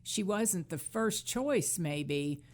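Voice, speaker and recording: Woman, lowish pitch, podcast co-host, casual chat, conversational commentary. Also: expressive, very slow, darkish tone.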